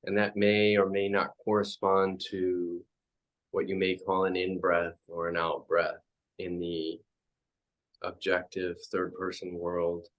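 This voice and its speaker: Slow, low-voiced man guiding meditation. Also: monotone, muffled recording. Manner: halting, reflective phrasing with frequent pauses. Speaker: meditation teacher. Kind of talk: guided meditation.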